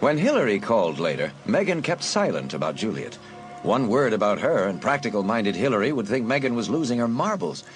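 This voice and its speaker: A man's voice: fairly low pitch, very animated. Male narrator over music. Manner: measured, suspenseful. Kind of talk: scripted audiobook narration.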